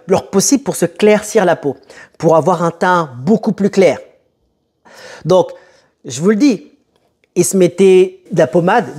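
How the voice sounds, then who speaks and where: A young man who grew up in France telling a personal story to camera in a conversational, emphatic way with dramatic pauses. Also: high-pitched, a little mellow. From born in Italy, moved to France as a child. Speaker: motivational video host.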